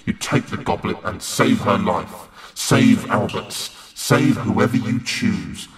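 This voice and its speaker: A man's voice: fairly low pitch, takes it slowly, a crowd in the background. A man playing a cruel, gloating creature of limbo in an audio horror drama, with a menacing, mocking and theatrical manner. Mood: threatening. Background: loud dramatic music.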